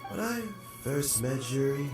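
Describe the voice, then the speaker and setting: A man's voice: medium pitch, very animated, measured pace. An actor playing a dignified, weary old statesman in a video game, speaking formally and reflectively. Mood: solemn, reflective. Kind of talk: scripted video game dialogue. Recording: loud music under the voice.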